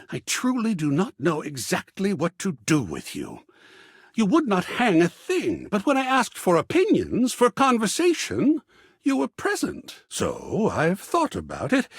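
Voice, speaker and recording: Game role: effusive, art-obsessed man. Husky, florid and dramatic, hugely varied pitch, very dry close recording. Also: no room sound, exaggerated voice. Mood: puzzled, conciliatory. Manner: florid, dramatic, formal phrasing. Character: effusive, sensitive, theatrical male artist, an art-obsessed optimist. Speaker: voice actor playing a video game character. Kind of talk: theatrical video game character dialogue.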